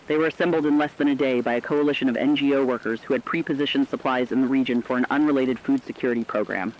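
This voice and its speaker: A man's voice: mid-range voice, a little hiss or hum, lightly acted. A man as earnest radio storyteller, dull lo-fi sound. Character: earnest male radio storyteller reporting survivors' deeds. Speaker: broadcast narrator. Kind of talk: scripted human-interest narration.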